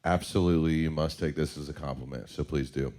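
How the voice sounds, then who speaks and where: Young male co-host, deep low voice, loose earnest rambling feedback. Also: fast talker, a little mellow. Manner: earnest, rambling, lots of fillers. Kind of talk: casual feedback chat.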